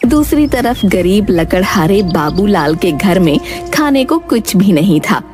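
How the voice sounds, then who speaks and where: A woman's voice: mid-range voice, big pitch swings, measured pace. A woman narrating a scripted children's story in a storytelling style. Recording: music behind narration.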